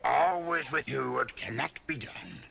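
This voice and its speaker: A man voicing a tiny, ancient, wise creature master who speaks with a croaky, gravelly voice in slow, backwards-ordered stern teaching lines. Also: medium pitch, animated.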